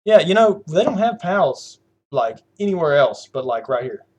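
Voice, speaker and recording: Casual Southern podcast host, young man, rambling, laughs a lot, somewhat high-pitched. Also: very animated, normal speed, a little mellow, a little hiss or hum. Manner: rambling, laughs often, lots of fillers. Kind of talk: casual chat.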